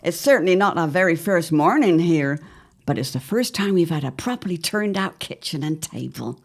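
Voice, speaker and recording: A woman's voice: on the low side, lively intonation, moderate pace. A woman playing an anxious middle-aged wife of the 1910s in an audio drama, speaking in a formal, old-fashioned, earnest way. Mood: brisk, fond. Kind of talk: period audio drama acting.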